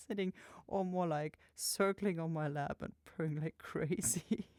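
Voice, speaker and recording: Casting: female ASMR host, playful casual chat, soft and partly whispered, dark mellow tone, dry sound. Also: low voice, unhurried, dry recording. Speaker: creator and host of audio roleplay channel.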